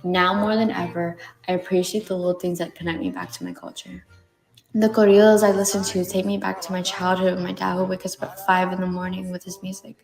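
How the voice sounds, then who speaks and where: Young woman, lowish voice, quick reflective narration, long reverb, soft music behind. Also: steady tone. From Baltimore, United States. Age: young adult. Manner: reflective, heartfelt. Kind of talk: personal narration.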